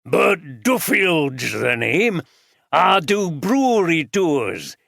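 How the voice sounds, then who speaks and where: A man voicing a blunt, gruff brewery guide in his sixties with a broad Yorkshire accent. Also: on the high side, very animated, drawn-out words, fairly bright tone, dry recording, clean studio sound, pitched above the performer's own voice, heavily acted. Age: 60s.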